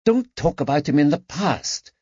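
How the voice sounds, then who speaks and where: A man voicing a gentle, tactful woman who comforts a friend with soft words. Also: fairly high pitch, lively intonation, normal speed, slightly rough, dry recording, voice pushed up. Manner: soft and soothing. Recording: slightly dull dry recording.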